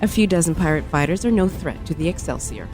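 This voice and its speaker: An actress playing a rule-bound, coldly dutiful starship commander in an audio drama, clipped, curt and formal. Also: female voice, low voice, animated, fast talker, music in the background. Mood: stern, dismissive. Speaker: starship first officer. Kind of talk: science fiction audio drama acting.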